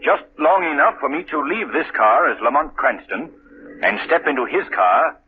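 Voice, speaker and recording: A man playing a suave, assured gentleman. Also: a little high, lively intonation, close to an everyday voice. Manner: measured, assured. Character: suave wealthy man, calm and confident. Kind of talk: 1930s radio drama acting. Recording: tinny old radio broadcast with hiss.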